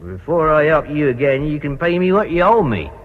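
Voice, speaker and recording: An actor playing a boozy, resentful English cathedral guide in a radio drama, colloquial and blustering, with a husky voice. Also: male voice, a little high, sing-song, brisk. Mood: wheedling, grumbling. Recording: muffled radio drama, reverberant hall.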